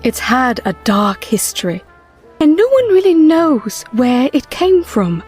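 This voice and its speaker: An actress playing a composed, earnest woman who retrieves occult books, with measured delivery in an audio drama. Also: high-pitched, big pitch swings, a little mellow, breathy edge, faint music behind, exaggerated voice. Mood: earnest, explaining.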